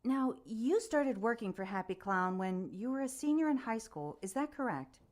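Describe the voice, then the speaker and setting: An actress playing a composed news special reporter and interviewer in a radio play, formal, measured and probing. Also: female voice, a little high, animated, a little mellow, lightly acted.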